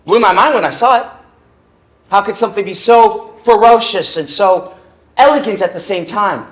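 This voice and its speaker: A man's voice: sits high, moderate pace, natural voice. An actor playing a cocky, tired young male fencer who talks in a teasing, flippant way, on a theater stage. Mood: probing. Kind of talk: stage play acting.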